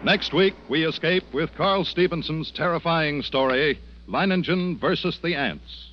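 A man's voice: on the high side, measured pace. A man reading scripted announcements in 1940s radio announcer style. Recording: muffled old radio broadcast.

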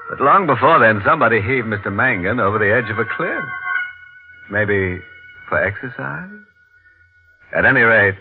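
An actor playing a wry, macabre storyteller host, theatrical and tongue-in-cheek, in 1940s radio horror style. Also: male voice, lowish voice. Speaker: host of a mystery anthology. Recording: muffled narrow-band vintage radio recording. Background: music.

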